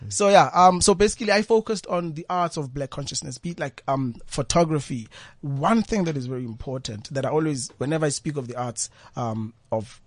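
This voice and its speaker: A man's voice: fairly high pitch, a little fast, no echo, studio quality. A South African man chatting as a guest poet in a radio interview, speaking thoughtfully and often repeating his words. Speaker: guest poet and former journalist. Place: radio studio.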